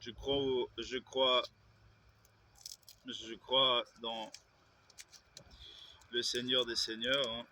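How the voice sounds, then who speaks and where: A man's voice: mid-range voice, level tone, extremely slow, pauses often, bright, forceful tone, slight background noise. A man, vlogging in a rambling way, with hesitations and self-corrections. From Belgium. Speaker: YouTuber. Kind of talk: casual vlog monologue.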